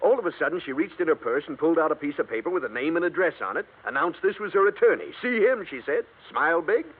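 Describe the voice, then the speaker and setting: A man's voice: on the high side, lively intonation, fast, breathy edge, phone-quality sound. A man with an American accent playing a wisecracking, gossipy insurance man in an old radio drama, telling things in colorful slang. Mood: baffled, colorful. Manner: jokey, colorful, slangy storytelling. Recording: thin, band-limited vintage radio recording.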